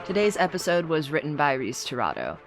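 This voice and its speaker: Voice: mid-range voice, wildly swooping pitch, brisk, music in the background. A podcast announcer reading out scripted credits and thanks in a plain announcement style.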